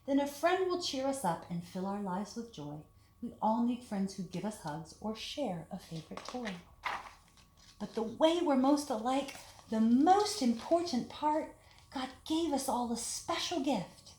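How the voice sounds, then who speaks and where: A woman leading a children's storytime, talking and reading aloud in simple, deliberate phrases meant for young children. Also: a little high, animated, warm tone. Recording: echoey room with background hiss.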